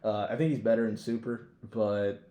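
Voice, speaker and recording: A man's voice: fairly low pitch, fairly even intonation, normal speed, warm tone. A man chatting casually as a podcast co-host, with an emphatic, slangy, sweary way of talking. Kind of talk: casual chat ranking favourites. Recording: echoey room.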